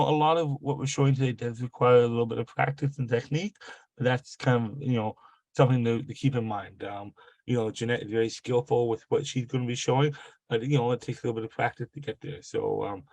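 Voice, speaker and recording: A man with a cold and a gravelly voice hosting a live online workshop over a video call, halting and full of fillers and restarts. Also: mid-range voice, darkish tone, no room sound, rough recording. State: has a cold. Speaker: webinar host.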